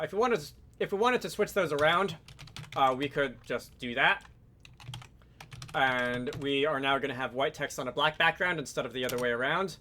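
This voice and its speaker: A man narrating a software tutorial casually and informally, thinking aloud as a host with a wry streak. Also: a little high.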